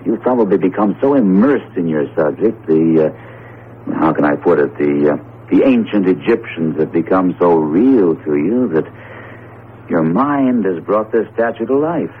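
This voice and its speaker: Breezy skeptical professor, muffled old radio. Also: male voice, low-pitched, expressive, a little slow. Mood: reasoning. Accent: American. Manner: wry, breezy, explanatory. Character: genial, skeptical male psychology professor, hearty and hungry. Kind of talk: old-style radio drama acting. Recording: muffled, noisy 1970s radio broadcast.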